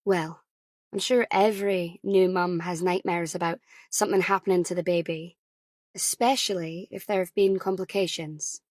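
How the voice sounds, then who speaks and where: Audiobook role: woman as no-nonsense female nurse, crisp, pleasantly firm, professionally soothing. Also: fairly low pitch, fairly even intonation, normal speed, darkish tone, dry recording, clean studio sound, natural voice. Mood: softened, soothing.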